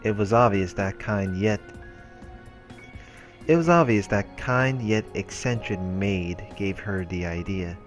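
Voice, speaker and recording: A man's voice: on the low side, slow pace, soft background music. A man reading visual novel text aloud as a let's play host, in a casual way with offhand asides.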